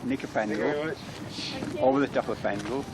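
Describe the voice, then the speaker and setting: A man's voice: medium pitch, moderate pace, over a noisy crowd, rough recording. A man, an event chairman, explaining something unscripted in hesitant, piecemeal phrases. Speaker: walk committee chairman.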